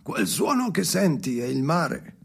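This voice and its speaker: Gravelly, haunted, restless soul. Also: male voice, fairly high pitch, sing-song, a little hiss or hum, heavily acted. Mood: insistent, explaining. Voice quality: gravelly, creaky. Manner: theatrical, halting, obsessive, swinging from murmurs to shouts. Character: Henry, haunted, restless man talking to his dead father. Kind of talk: avant-garde radio drama monologue.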